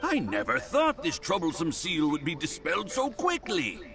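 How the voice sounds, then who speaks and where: A fussy, fawning landlord. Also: male voice, sits high, huge pitch swings, moderate pace, gravelly, exaggerated voice. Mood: grateful. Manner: flustered, overly polite and effusive. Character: fussy, eager middle-aged male landlord. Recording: game audio with music underneath.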